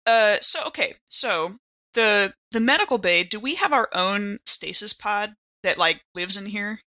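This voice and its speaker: A woman playing a tabletop roleplaying game, talking casually and in character, animated and playful. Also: medium pitch, measured pace.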